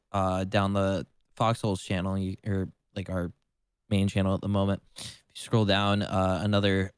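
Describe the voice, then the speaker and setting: A man's voice: on the low side, clean tone, no room sound, clean studio sound. A man in casual livestream chat as co-host, rambling with lots of "like" and casual swearing. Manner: rambling, heavy use of "like"; casually foul-mouthed.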